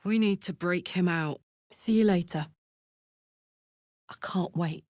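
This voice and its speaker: Brash, slow-paced warrior woman. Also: on the low side, a little mellow, dry recording, clean studio sound. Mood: earnest, blunt. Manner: earnest, salty, blunt. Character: brash, warm-hearted woman warrior escaped from the Hells. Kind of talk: video game character voice lines.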